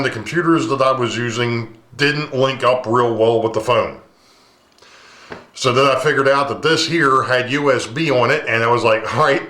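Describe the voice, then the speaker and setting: Chatty man with a booming voice. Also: a bit bright. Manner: rambling, chatty, many ums. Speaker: model railroad channel host. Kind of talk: casual talk to camera. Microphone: camcorder's built-in mic, no lapel mic. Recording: echoey room.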